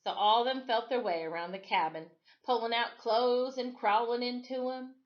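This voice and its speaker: A woman reading a children's story aloud as expressive storytime narration. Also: on the high side, normal speed, highs cut off. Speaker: storytime reader.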